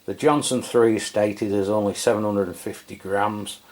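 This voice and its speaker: A man's voice: lowish voice, fairly even intonation, normal speed, darkish tone. A middle-aged man giving a casual, chatty walkthrough of a radio, with frequent fillers and self-corrections. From England. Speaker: radio equipment reviewer. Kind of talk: casual product review walkthrough.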